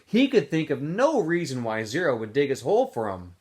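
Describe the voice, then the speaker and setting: A man's voice: on the high side, huge pitch swings, brisk, darkish tone, husky. A male teacher casually recapping a story for students, then reading a novel aloud expressively.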